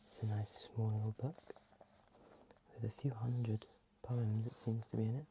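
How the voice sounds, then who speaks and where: A soft, breathy ASMR host reading in a hushed voice that often slips into a whisper. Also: medium pitch, little pitch movement, normal speed, noisy background.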